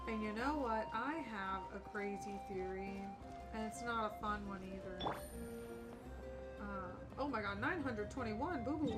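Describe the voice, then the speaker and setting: Chatty woman streamer over game music. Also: fairly high pitch. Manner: informal, rambling. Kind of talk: casual live stream chat. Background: video game music.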